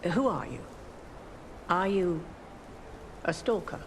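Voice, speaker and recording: An actress playing a grim, guilt-ridden older woman guarding a cathedral in a video game, solemn, ominous and measured. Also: on the low side, sing-song. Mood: stern, sorrowful. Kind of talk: dramatic video game voice acting. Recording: clearly noisy ambient background.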